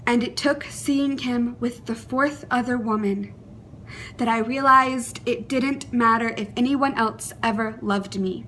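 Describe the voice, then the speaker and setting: Young female monologist, high-pitched and unhurried, emotive, deliberate phrasing with pauses. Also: sharp tone, slight background noise. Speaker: monologue performer. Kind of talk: dramatic spoken monologue.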